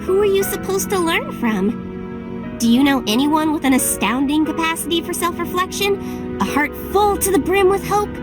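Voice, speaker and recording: Tiny female game companion, extremely high pitch, caring, earnest, gently teasing, prominent music. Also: lively intonation, normal speed. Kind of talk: scripted game cutscene acting.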